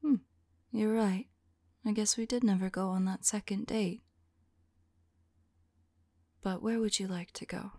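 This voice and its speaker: A woman playing a tender, devoted vampire lover in an intimate ASMR roleplay, speaking softly and affectionately, often in a whisper. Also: medium pitch, steady tone, dry recording, studio quality. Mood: fond. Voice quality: soft, breathy, often whispering. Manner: slow, wistful, affectionate.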